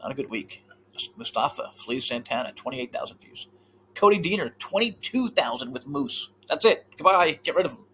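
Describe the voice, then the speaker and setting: A man co-hosting a casual podcast chat, animated and swearing freely, often trailing off mid-thought. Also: a little high, moderate pace, a little hiss or hum, low-quality recording. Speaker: podcast co-host.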